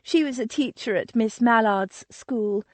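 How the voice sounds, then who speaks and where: A woman reading an audiobook voices a catty, gossiping young Regency lady with a high, affected, gushing manner. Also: unhurried, no echo, natural voice.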